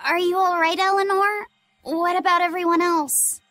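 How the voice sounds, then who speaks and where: A woman's voice: very high-pitched, level tone, moderate pace, fairly bright tone. A woman playing an earnest, worried young boy in a game cutscene, with a light, childlike voice. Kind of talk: dramatic game cutscene acting. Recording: background music under voice.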